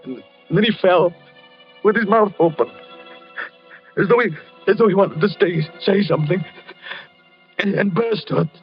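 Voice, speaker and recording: An actor in an old-time radio drama playing a tormented, obsessive husband who talks haltingly and repeats himself. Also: male voice, high-pitched, soft background music, lightly acted. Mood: distraught, fixated. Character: tormented husband haunted by dreams of a duel. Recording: muffled vintage radio recording, dry.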